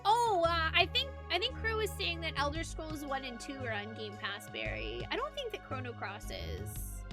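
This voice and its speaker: Animated, high-pitched woman streaming a game. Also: moderate pace, over music. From United States. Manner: animated, expressive, chatty. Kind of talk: livestream chat and reading game narration aloud. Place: indoors, game livestream with music behind.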